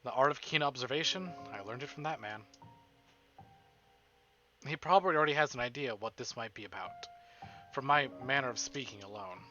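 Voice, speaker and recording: A man's voice: mid-range voice, animated, a little fast, long pauses, dull audio. A man streaming a visual novel, reading the story aloud as dramatic narration. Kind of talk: dramatic read-aloud narration with casual asides. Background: soft game music.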